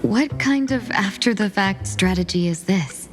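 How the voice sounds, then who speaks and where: A woman's voice: mid-range voice, lively intonation, unhurried, over music, natural voice. A woman voicing an earnest, courteous young female envoy who speaks formally and politely in a game cutscene.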